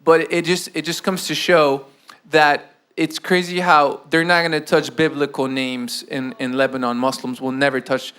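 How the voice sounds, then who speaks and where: A man from South America hosting a casual interview, excitable and quick to interject. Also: fairly high pitch, smooth. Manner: excitable, interjecting, laughs often. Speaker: host.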